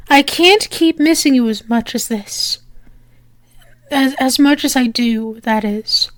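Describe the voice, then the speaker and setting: A woman playing a teasing, lovestruck female demon in an intimate ASMR roleplay, speaking haltingly with fond endearments. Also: high-pitched, no echo. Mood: yearning.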